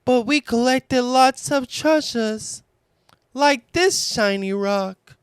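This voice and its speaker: A man voicing a small, bright, eager male piglet in a children's storybook. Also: extremely high pitch, slow pace, clear, resonant tone, no echo, studio quality, pitched above the performer's own voice.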